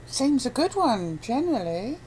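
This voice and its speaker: A British woman chatting casually as an interviewee, giving a rambling, halting and blunt account. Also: sits high, big pitch swings, darkish tone, slight background noise, rough recording. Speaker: interviewee, abuse survivor campaigner.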